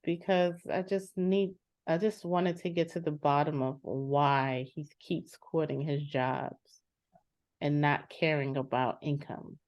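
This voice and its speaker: A woman's voice: sits low, fairly even intonation, takes it slowly, darkish tone. A woman playing a practical, frustrated sister in an improvised session over a video call, plain-spoken and insistent. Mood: frustrated, earnest. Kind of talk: improvised role-play dialogue. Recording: slightly muffled video-call audio.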